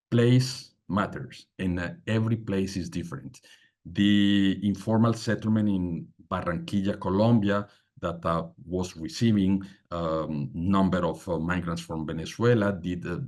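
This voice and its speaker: Low-voiced male panelist, halting delivery. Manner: halting, many fillers, emphatic. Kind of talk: panel talk on migration policy.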